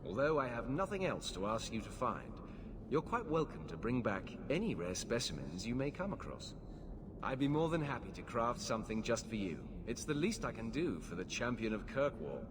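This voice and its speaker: A man acts a grateful fantasy game merchant, courteous and obliging. The delivery is lively in pitch, with long reverb and clear background noise. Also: moderate pace, natural voice. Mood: welcoming, grateful. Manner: welcoming, polite. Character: courteous, grateful craftsman shopkeeper, welcoming and obliging.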